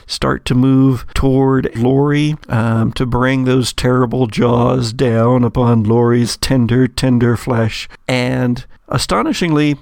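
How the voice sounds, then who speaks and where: A man narrates a tabletop game as its game master in a slow, creaky voice. His phrasing is theatrical and florid. The recording is very dry and close. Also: medium pitch, darkish tone, no room sound. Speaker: game master of an actual play podcast.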